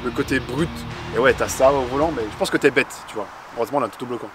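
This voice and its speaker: Easygoing man over clear music. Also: mid-range voice, very fast. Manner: easygoing, enthusiastic, conversational. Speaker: co-host. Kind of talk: casual chat about cars and music.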